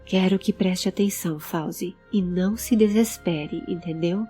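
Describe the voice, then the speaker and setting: A woman voicing a serious, pensive male adventurer who keeps calm and gives instructions in an audio drama. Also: on the low side, a little slow, soft background music, natural voice. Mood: grave. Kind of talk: audio drama character acting.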